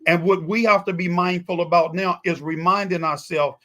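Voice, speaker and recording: A man's voice: high voice, steady tone, normal speed, fairly bright tone. A man speaking as a guest pastor in a talk-show discussion, emphatic and repetitive with a preaching cadence.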